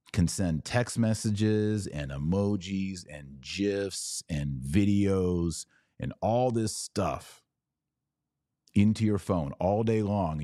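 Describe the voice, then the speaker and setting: A man's voice: deep voice, warm tone, no room sound, studio quality. An American man hosting a podcast, explaining religion informally and emphatically, posing rhetorical questions and answering them himself. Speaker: podcast host answering viewer comments.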